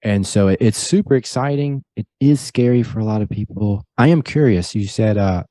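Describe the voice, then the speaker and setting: Deep, mellow-voiced earnest male guest. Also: normal speed, dry recording. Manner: earnest, motivational, steady. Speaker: podcast guest, real estate broker. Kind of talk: casual interview chat.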